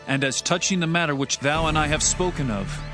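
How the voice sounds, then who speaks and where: A man plays a devoted young prince in a Bible drama. His fairly high voice is solemn and declamatory, sincere in its archaic phrasing. Music plays clearly behind him. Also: a little fast, close to an everyday voice. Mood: earnest instructing. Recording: background music under the voice.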